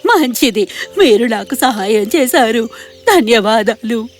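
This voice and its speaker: A woman's voice: a little high, expressive, takes it slowly, soft background music. Woman playing a plaintive, scolding fairy-tale old woman. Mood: mollified.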